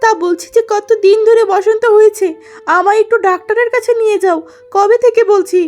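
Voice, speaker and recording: A jealous, sharp-tongued younger wife, acted by a woman in a cartoon melodrama. Her voice is high and indignant, over faint background music. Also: steady tone, normal speed. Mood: hurt, indignant. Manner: scolding, indignant. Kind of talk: melodramatic cartoon story acting.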